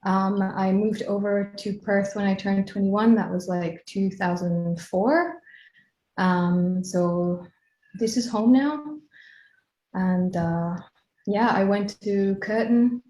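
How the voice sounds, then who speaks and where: A woman's voice: mid-range voice, slow pace, dark tone, reverberant, rough recording. A woman from Kenya in her late thirties, a hypnotherapist chatting casually as an interview guest, laughing easily.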